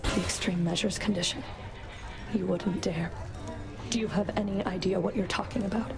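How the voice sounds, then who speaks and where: A woman's voice: mid-range voice, fairly even intonation, normal speed, vocal fry, music in the background, lightly acted. A woman voicing a cold, menacing, contemptuous fury in a video game, stern and sardonic. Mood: incredulous, angry. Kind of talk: video game character acting.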